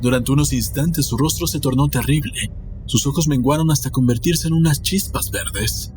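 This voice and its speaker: A man's voice: medium pitch, normal speed, noisy background. A man reading a scripted story aloud as a dramatic audiobook narrator. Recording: music behind the voice. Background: music.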